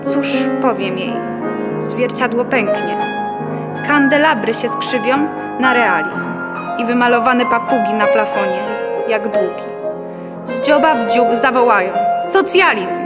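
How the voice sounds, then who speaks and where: A woman's voice: fairly high pitch, a little slow, close to an everyday voice. A young woman giving a dramatic poetry recitation in a radio play. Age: young adult. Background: piano music.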